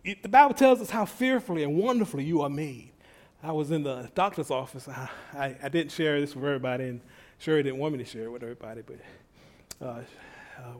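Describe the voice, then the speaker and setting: A middle-aged male preacher with a slightly higher voice, talking to his congregation in a folksy, conversational way. His voice is soft when he is relaxed and climbs into excited shouts of praise. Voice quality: soft-spoken at rest. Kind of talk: conversational sermon.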